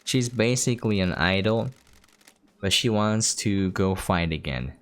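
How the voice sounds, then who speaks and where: A woman's voice: extremely low pitch, expressive, measured pace. A woman giving casual gameplay commentary as the host of a game preview. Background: game music.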